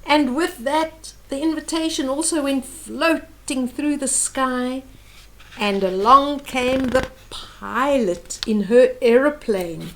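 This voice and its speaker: An older woman with a high voice tells a picture story to young children. She takes it slowly, in simple phrases with pauses, and speaks straight to her little listeners in an expressive way. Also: slight background noise, rough recording. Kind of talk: reading and retelling a picture book to young children.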